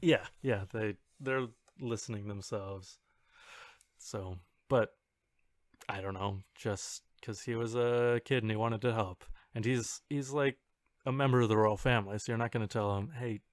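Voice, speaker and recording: Low-pitched man chatting on a podcast. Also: fairly even intonation, dry recording, clean studio sound. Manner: informal, halting, loosely explaining. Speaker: podcast co-host. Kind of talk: casual explanatory podcast chat.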